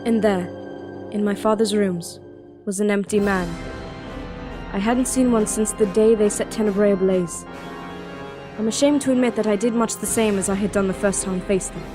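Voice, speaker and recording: An actress playing a devoted, strong-willed young princess in an audio drama, speaking and narrating in a measured, earnest, solemn way. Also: female voice, mid-range voice, fairly even intonation, music in the background, lightly acted. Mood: solemn, reflective. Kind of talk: audio drama acting with first-person narration.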